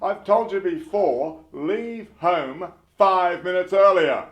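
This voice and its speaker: A man's voice: high voice, slow pace. A man playing an officious, self-important radio stage manager who nags and fusses over his cast in a comedy. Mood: bossy, impatient. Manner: officious, nagging. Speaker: radio producer. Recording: roomy echo.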